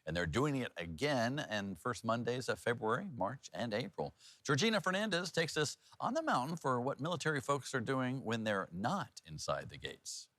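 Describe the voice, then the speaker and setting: A man's voice: medium pitch, sing-song, moderate pace, hardly any pauses, fairly bright tone, no echo. A middle-aged American man presenting local TV news in a chatty, folksy, informal way. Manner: folksy, informal, loose ad-libbing. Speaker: news anchor and host. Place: TV news studio. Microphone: lapel mic.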